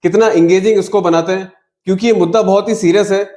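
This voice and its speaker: A man with a noticeably high voice delivers opinionated film news commentary. He speaks emphatically and drops English words into his talk. The sound is roomy, with clear echo around the voice. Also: fairly even intonation, fast, a little mellow. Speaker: video commentator.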